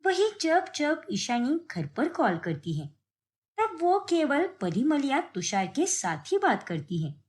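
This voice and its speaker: High-pitched, animated woman narrator. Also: slow. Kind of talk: scripted story narration.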